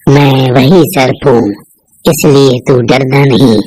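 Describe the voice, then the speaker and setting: A man's voice: medium pitch, fairly even intonation, slow pace, darkish tone, a little hiss or hum, lightly acted, low-quality recording. A man voicing a shape-shifting snake who is a protective, commanding adoptive brother in a cartoon folk tale. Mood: reassuring.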